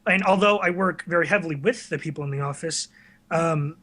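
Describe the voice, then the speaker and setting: A man talks casually as the guest on an interview show. His voice sits a little high with a crisp, forward brightness, and he explains things earnestly and conversationally. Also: a little fast. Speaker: guest, online video series creator.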